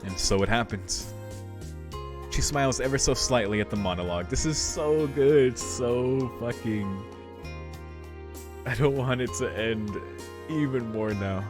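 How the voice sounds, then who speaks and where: A man's voice: mid-range voice, measured pace. A man doing a casual, reflective let's play of a visual novel, commenting and reading the text aloud. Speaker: streamer playing a visual novel. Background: game music.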